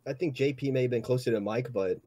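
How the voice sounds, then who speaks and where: A man's voice: medium pitch, very fast, warm tone. A man who does amateur voice acting, chatting casually on a livestream. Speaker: amateur voice actor in a cast.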